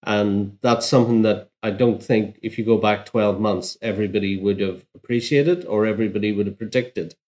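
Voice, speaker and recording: A man working as a journalist, giving measured, analytical commentary in an unscripted interview. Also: on the low side, darkish tone. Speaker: journalist, newspaper bureau chief.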